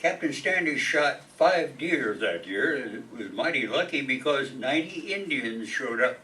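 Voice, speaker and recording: A man playing a know-it-all relative who speaks in a deliberate, informative way in a radio comedy play. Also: medium pitch, expressive, bright, forceful tone. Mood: matter-of-fact. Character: know-it-all male relative reciting history facts. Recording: noisy, distant stage microphone.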